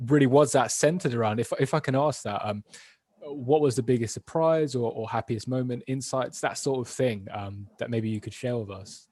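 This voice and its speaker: Man, mid-pitched, dry close sound, soft-spoken, encouraging, hesitant, interviewer. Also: moderate pace, no echo. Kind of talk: supportive interview.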